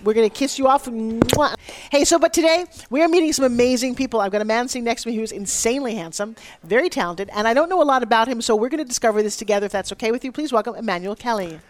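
A woman's voice: fairly high pitch, quick pace, a little hiss or hum. A woman hosting a radio interview with effusive, teasing, slangy banter. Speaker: radio show host. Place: at a conference.

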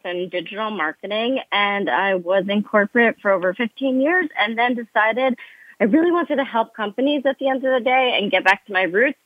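A woman's voice: medium pitch, no echo. A woman guest on a radio talk show, speaking in an enthusiastic, confident way. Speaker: guest, consultancy founder. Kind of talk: radio interview. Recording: tinny phone line.

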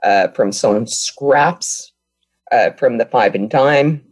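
A woman from Brazil whose first language is Portuguese, speaking as a guest and telling her life story with earnest, reflective pauses. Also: extremely low pitch, darkish tone, breathy edge, no echo.

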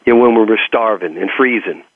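A man's voice: on the low side, brisk, dry recording, narrow, tinny audio. A man with a Texas accent giving motivational talk and personal stories as an interview guest, emphatic and given to repeating phrases for effect. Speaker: motivational speaker and coach being interviewed.

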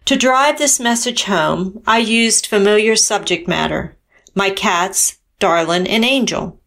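A woman's voice: medium pitch, measured pace, a bit bright. A woman artist presenting her own work in scripted, explanatory narration.

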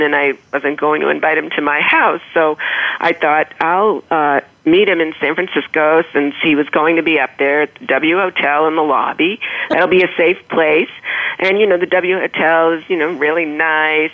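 A woman with a Boston accent, a radio show guest telling a lively anecdote with laughter. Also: sits low. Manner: animated, laughing storyteller. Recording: phone-line call-in audio.

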